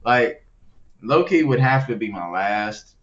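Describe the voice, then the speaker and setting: A man co-hosting a casual podcast, talking loudly and rambling with a lot of laughter. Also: mid-range voice, lively intonation, slow pace. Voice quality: loud voice. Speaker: podcast co-host. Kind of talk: casual chat. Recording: dull lo-fi sound, faint noise.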